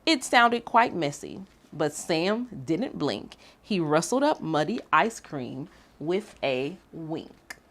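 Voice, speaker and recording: Animated woman reading to children. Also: sits low, slow, hardly any pauses. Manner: animated, addressing young listeners. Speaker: children's story reader and activity host. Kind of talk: expressive children's read-aloud.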